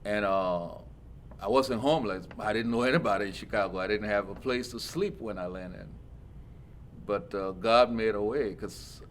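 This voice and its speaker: A man's voice: fairly low pitch, a little hiss or hum. A middle-aged man from Liberia, an interview guest telling his story in an earnest, reflective way. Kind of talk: casual interview.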